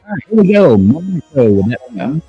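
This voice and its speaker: A man's voice: fairly high pitch, very animated, brisk, slightly rough, phone-quality sound, soft background music. A man co-hosting a live-stream trivia night, chatting casually and greeting viewers by name. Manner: laid-back, greets chat by name. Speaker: stream co-host.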